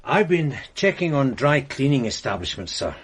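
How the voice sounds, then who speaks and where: A man's voice: medium pitch, very animated, normal speed, slight background noise, natural voice. A man playing an earnest, methodical police sergeant in a classic radio drama, explaining his work step by step.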